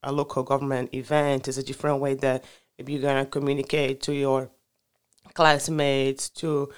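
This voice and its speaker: Halting man, smooth voice. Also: medium pitch, completely flat delivery. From Brazil. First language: Portuguese. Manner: halting, searching for words with many fillers. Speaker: guest. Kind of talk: casual interview answers.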